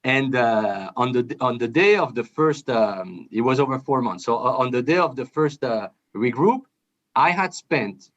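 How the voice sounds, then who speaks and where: A man with a French accent tells his story as an interview guest, pausing often on "uh." His voice sits mid-pitch and comes through a muffled, slightly dull video-call connection. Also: expressive, a bit bright. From France. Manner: hesitant, frequent "uh" fillers. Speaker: guest, brand manager.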